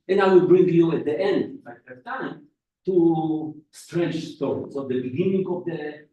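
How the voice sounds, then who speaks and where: A man giving a physics lecture as a guest speaker, halting and restarting his phrases as he goes. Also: a little high, a little mellow. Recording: echoey room from far off.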